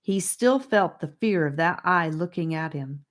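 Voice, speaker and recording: A woman narrating a children's fantasy audiobook with deliberate, expressive phrasing. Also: lowish voice. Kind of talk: scripted storybook narration.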